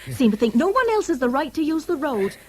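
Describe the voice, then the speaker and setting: A homely English housekeeper in a 1970s radio drama, with ambient noise behind. Her voice is high and lively as she fusses kindly and chattily, with colloquial deference. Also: fast talker. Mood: fussing concern. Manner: colloquial, fussing. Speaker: actor.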